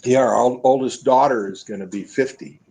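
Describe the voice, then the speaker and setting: A man in his seventies telling stories as a guest in an interview, rambling and halting with many restarts, speaking over a video call from a room at home. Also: medium pitch, a little mellow, husky, poor audio. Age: 70s. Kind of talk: casual storytelling.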